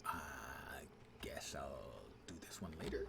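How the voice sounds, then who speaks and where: Animated man giving casual live gameplay commentary. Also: rumbling low, takes it slowly, creaky, over loud music. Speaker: video game streamer.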